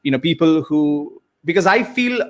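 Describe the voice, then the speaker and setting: Indian coach, male, Bengali native speaker, earnest storyteller, filler-heavy, slightly raised pitch. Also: expressive, moderate pace, a bit bright. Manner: earnest, many fillers and repeated starts. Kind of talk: conversational storytelling.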